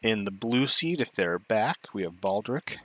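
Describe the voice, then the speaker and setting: Relaxed low-voiced male stream host. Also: measured pace, no room sound. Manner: relaxed, chatty, loose asides to chat. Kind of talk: casual game stream commentary.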